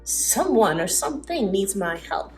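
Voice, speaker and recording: A woman's voice: medium pitch, big pitch swings, unhurried, darkish tone. A wry elderly storybook man, acted out by a woman. He grumbles theatrically like a bumbling would-be hero. Music runs underneath. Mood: startled.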